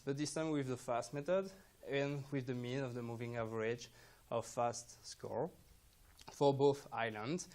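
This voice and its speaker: A young man, a student presenter, explains research results step by step in a hesitant way, with non-native English phrasing. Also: medium pitch. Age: young adult.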